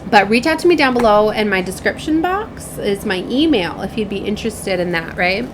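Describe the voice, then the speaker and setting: A woman's voice: mid-range voice, animated, moderate pace, noisy background. A woman giving a casual spiritual card reading to viewers, rambling informally and often checking in with the listener. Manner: informal, rambling, checks in with "right?" and "you know". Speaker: tarot and oracle card reader.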